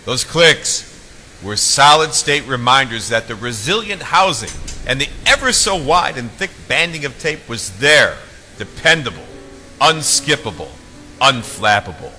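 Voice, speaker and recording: A man reading his own novel as a theatrical, emphatic audiobook narrator, with dramatic pauses. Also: medium pitch, lively intonation, bright, forceful tone, slight background noise. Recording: long reverb, faint music behind.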